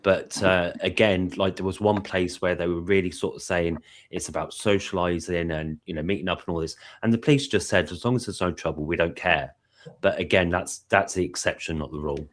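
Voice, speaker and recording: Deep-voiced man, calm explainer. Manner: measured, explanatory, hedging with "you know". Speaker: panel participant. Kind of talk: casual live panel chat.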